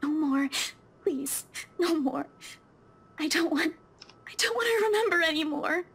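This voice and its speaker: A woman's voice: very high voice, lively intonation, unhurried, fairly bright tone, exaggerated voice. A woman voicing a terrified, fragile young woman in a visual novel game, pleading breathlessly and stammering.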